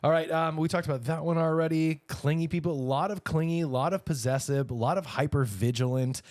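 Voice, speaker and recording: A man's voice: a little high, fairly quick, a little mellow, no echo. Jokey man in his thirties, close dry sound. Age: 30s. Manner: jokey, bantering. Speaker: podcast co-host. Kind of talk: casual playful podcast chat.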